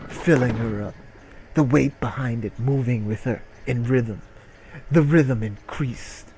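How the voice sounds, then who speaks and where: A man's voice: medium pitch, background noise. A man reads a story aloud in a mock-dramatic style, taking his time. He is crude and irreverent, comic in his delivery, with prominent music playing behind him. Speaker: reader and comedic streamer.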